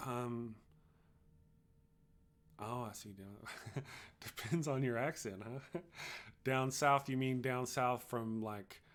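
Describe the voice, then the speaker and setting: A middle-aged man with a Texas accent, hosting a casual livestream and chatting loosely with viewers, rambling with frequent restarts. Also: medium pitch. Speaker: livestream host. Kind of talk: casual livestream chat, reading viewer comments.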